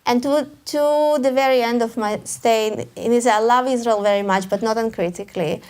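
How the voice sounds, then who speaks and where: A woman's voice: a little high, a little fast, darkish tone. Hesitant woman with a Russian accent. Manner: hesitant, with frequent restarts and small laughs. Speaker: author guest. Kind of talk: live author talk. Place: event hall before a live audience.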